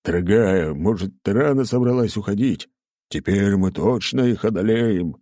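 A man's voice: fairly low pitch, lively intonation, moderate pace, warm tone, no room sound, studio quality, pitched above the performer's own voice, lightly acted. A man voicing a hapless, boastful demon lord in an audiobook, bleating and blustering like a whiny husband. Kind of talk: audiobook character acting.

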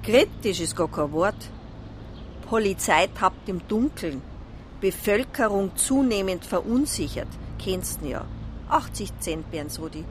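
An actress playing a gossipy, plain-spoken village newsagent in a radio drama, speaking in a folksy Bavarian accent. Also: female voice, medium pitch, lively intonation, measured pace, slight background noise, natural voice. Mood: chatty. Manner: chatty, folksy. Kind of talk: naturalistic radio drama dialogue.

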